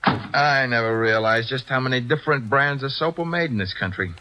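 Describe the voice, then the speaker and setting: An actor playing a wisecracking young assistant in a 1940s radio drama, breezy and cheeky. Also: male voice, mid-range voice, fairly quick, lo-fi sound, natural voice. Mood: wry.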